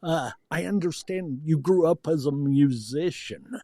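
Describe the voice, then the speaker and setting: A man's voice: a little high, measured pace, mellow, dry recording, studio quality. A man hosting a podcast, giving introductions and asking interview questions. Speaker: podcast host.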